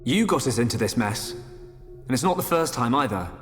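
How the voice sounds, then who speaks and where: An actor playing an earnest, determined young hero in a game. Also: male voice, medium pitch, expressive, brisk, natural voice. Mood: exasperated. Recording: reverberant hall, music behind.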